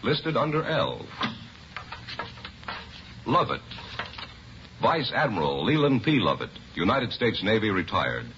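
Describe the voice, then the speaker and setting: A man announcing a 1950s radio advertisement with deliberate, emphatic salesmanship. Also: on the low side, big pitch swings, sharp tone. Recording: muffled vintage radio recording, faint noise.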